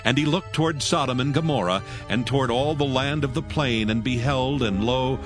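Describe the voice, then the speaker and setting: A man narrating scripture in a measured, solemn reading. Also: mid-range voice. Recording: narration over music.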